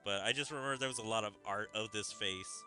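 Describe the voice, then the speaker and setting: A man's voice: lowish voice, fast, pushed and bright, soft background music. A man giving casual, rambling, chatty commentary on a game's story. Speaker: gaming streamer.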